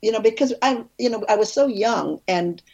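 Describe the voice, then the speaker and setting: A woman film director, an interview guest, telling animated stories in casual conversation with frequent restarts. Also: moderate pace. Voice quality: husky, low.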